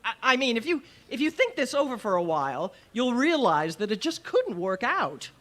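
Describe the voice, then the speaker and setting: An actress playing a wry, wisecracking but easily flustered schoolteacher in an old-time radio comedy. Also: female voice, a little high, sing-song, moderate pace. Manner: dry, quipping, stammers when flustered.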